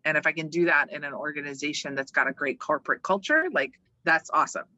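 A woman from Hawaii speaking casually and emphatically as an interview guest, chatty and animated. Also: low voice, fast talker, highs cut off. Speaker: interview guest, organizational development worker and voiceover artist.

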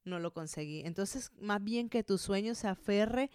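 A Colombian woman in her thirties speaks as a podcast host with a smooth, clear voice at a medium pitch. She talks in an encouraging, conversational way, addressing the listener directly. The recording is dry and close, with very little room sound. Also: moderate pace, darkish tone, no room sound. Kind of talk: motivational monologue, conversational.

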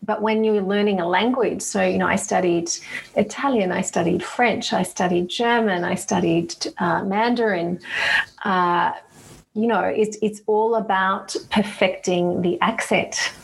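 A woman's voice: mid-range voice, fairly even intonation, measured pace, vocal fry. A woman guest in a podcast interview, answering thoughtfully with frequent ums and restarts. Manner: thoughtful, hesitant with many fillers and restarts. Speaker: guest, executive general counsel.

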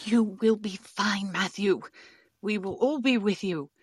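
A woman playing a steady, caring, commanding alien elder in an audio drama, with formal, measured phrasing. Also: mid-range voice, breathy edge, dry recording, clean studio sound. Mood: reassuring, solemn.

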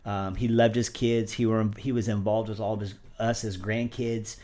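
A man's voice: fairly low pitch, level tone, normal speed, dark tone. A man, a gym owner chatting casually as a podcast guest, easygoing and full of uh's. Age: 40s. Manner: easygoing, many fillers and uh's. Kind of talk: casual interview chat.

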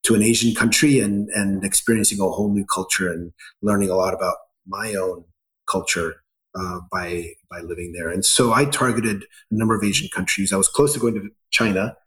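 A middle-aged man from Ecuador, a business founder answering interview questions in a halting way, with many fillers and restarts. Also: low voice, fairly even intonation, fairly bright tone, rough recording.

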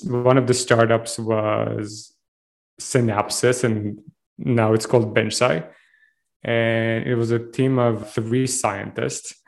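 A man with an Israeli accent speaks in a low voice with very little rise and fall. He tells his story at length in an interview, candid and a little rambling. He is a startup chief executive in his thirties. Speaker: startup CEO guest.

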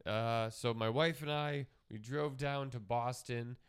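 A man with a stuffy, nasal head cold talks casually on a podcast, rambling and often breaking off mid-sentence. His voice sits at a middle pitch and comes through smooth and close. The sound is dry, with no room echo. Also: steady tone, a little slow. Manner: rambling, self-interrupting, laughs often. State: congested, nasal. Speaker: podcast co-host, comedian. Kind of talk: casual chat.

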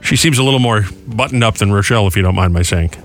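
A man's voice: on the low side, quick pace, soft background music. A man hosting a morning radio show, chatting with callers and co-hosts and reading news in a joking, casual way. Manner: jokey, chatty, laughs often. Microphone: studio mic.

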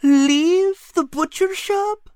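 Narrator putting on a squeaky hungry tomcat, folksy talk, high and pleading. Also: very slow, a little mellow, dry recording, clean studio sound, exaggerated voice. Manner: folksy dialect, says lass and me. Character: hungry male cat, greedy, nervous. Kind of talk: storybook character voice.

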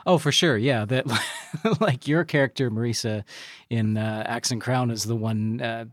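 A man's voice: medium pitch, moderate pace, dry recording, studio quality. A man talking casually as a podcast guest and producer. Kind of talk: casual conversation.

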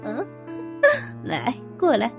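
A middle-aged female boss in an audio story, acted out by a woman, indulgent and self-satisfied. She drawls with condescending sighs and interjections in a fairly high voice. Music plays clearly behind her. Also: animated, measured pace, darkish tone. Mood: amused, indulgent.

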